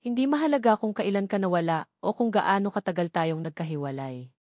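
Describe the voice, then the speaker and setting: A woman's voice: medium pitch, expressive, a little fast, darkish tone, no room sound, studio quality, natural voice. A woman voicing a devoted, tender husband in an audiobook love story, earnest and solemn. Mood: content, loving.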